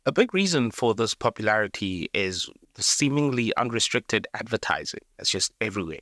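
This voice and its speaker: A man's voice: on the low side, sing-song, brisk, fairly bright tone, no echo, studio quality. A South African man hosting a documentary-style video, speaking earnestly and directly to the viewer. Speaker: video essay host. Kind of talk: scripted documentary narration.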